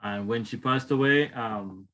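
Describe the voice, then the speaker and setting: A man in his thirties with a Ugandan accent speaks informally about himself as a guest panelist, often halting and restarting his sentences. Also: medium pitch, pushed and bright. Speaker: wellness tonic maker, guest panelist. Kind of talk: informal personal storytelling on a panel.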